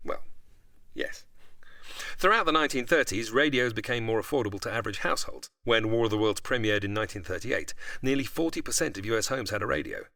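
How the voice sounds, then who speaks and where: A man's voice: mid-range voice, bright, forceful tone, dry recording. A man giving prepared, articulate explanations as an expert guest, with a storyteller's delivery. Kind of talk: explanatory interview, largely prepared narration.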